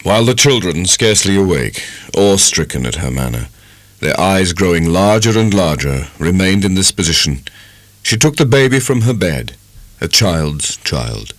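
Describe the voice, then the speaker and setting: A man giving an expressive, measured narration of a literary audiobook. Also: deep voice, no room sound.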